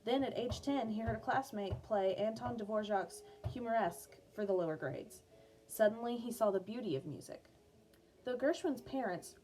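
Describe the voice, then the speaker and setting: A woman reading an educational biography aloud for children as a music teacher, in a clear, measured storytelling manner. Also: fairly high pitch, a little mellow, slight background noise, low-quality recording. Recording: music playing behind the voice. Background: background music.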